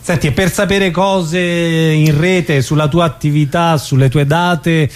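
A man with a fairly high-pitched voice hosts a radio interview. He puts his questions casually, in a conversational style. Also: steady tone, a little slow.

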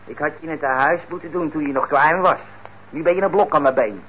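An actor playing a sullen, resentful young ex-convict son in a radio play, curt and sarcastic. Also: male voice, a little high, fairly quick, fairly bright tone, lightly acted. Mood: bitter, sarcastic. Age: young adult. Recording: dull, noisy old radio recording.

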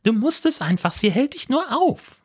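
Man putting on a high-pitched, pushy zombie sister. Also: big pitch swings, moderate pace, a little mellow, slightly rough, full character voice. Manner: blunt, slangy, insistent. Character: pushy, flippant undead young woman, zombie sister.

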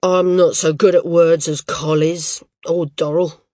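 A woman's voice: deep voice, level tone, unhurried, mellow, no echo, clean studio sound. A woman reading an audiobook, voicing a bashful young man who stammers awkwardly.

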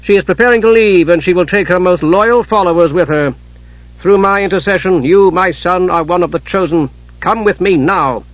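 Fantasy audiobook villainess, performed by a man: cold warrior mother, raised pitch, sneering, commanding, emphatic, dry studio sound. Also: normal speed, no room sound, highs cut off, close to an everyday voice. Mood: urgent, commanding. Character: cold, imperious warrior mother, scornful and domineering ghostly knight.

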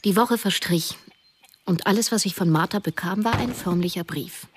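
An actress as a troubled, self-questioning woman, telling her story as first-person narrator in an introspective, deliberate way. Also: medium pitch, slight background noise, close to an everyday voice. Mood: brooding. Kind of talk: scripted radio drama narration.

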